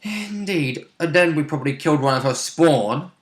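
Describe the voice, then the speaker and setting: A man's voice: a little high, fairly quick. A man chatting casually as a player about a tabletop role-play game. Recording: roomy, echoey indoor recording.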